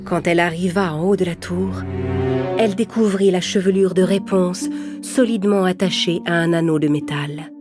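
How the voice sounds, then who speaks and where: A woman narrates a children's fairy tale as a storyteller. Her voice sits slightly low, with clear music playing behind it. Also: steady tone, moderate pace.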